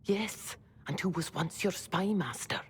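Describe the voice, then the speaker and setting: An actress playing a deferential, discreet woman messenger in a fantasy game, hushed and polite. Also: fairly low pitch, measured pace, a little hiss or hum, natural voice. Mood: discreet, urgent. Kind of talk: dramatic fantasy game acting. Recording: reverberant hall with faint ambience.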